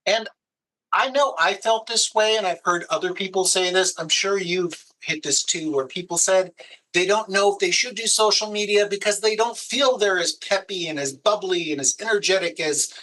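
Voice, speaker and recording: A man with a high, bright voice chats casually about working on camera, handing out practical tips at an easygoing pace. He sounds like a video producer at ease with his guest. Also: moderate pace, poor audio. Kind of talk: casual advice conversation.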